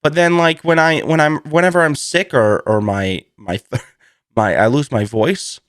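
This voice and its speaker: A man hosting a solo podcast in a casual, rambling, self-deprecating way, slightly hoarse from a lingering cold. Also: a little high, a little slow, fairly bright tone, no echo, studio quality. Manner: rambling, self-deprecating, laughs through his words. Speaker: podcast host.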